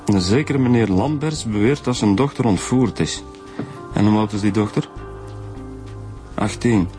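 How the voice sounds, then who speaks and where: A Flemish actor playing an informal, teasing, grumbling police detective in a naturalistic radio drama, talking colloquially. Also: male voice, fairly low pitch, unhurried, dark tone, faint music behind, close to an everyday voice. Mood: casual. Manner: colloquial, casual.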